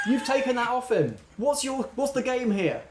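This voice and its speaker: Man putting on a jumpy, higher-pitched security guard. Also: big pitch swings, measured pace, noisy background, full character voice. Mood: suspicious, accusing. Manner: agitated, challenging. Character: scared, suspicious male security guard with a taser. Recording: roomy sound with music behind.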